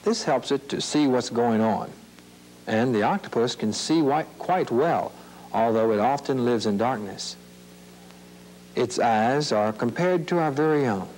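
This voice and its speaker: A man reading scripted nature narration for children in a measured, explanatory, plain-worded way. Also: mid-range voice, lively intonation, a little mellow, poor audio. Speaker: narrator of an educational film series. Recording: hissy, humming old videotape soundtrack.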